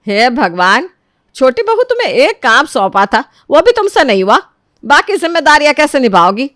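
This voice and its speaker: A woman's voice: sits high, very animated, moderate pace, a bit bright, no room sound, voice pushed up. Older mother-in-law for a moral story, played by a woman, devout, commanding, easily exasperated, dry close sound. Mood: exasperated scolding. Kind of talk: Hindi moral story character acting.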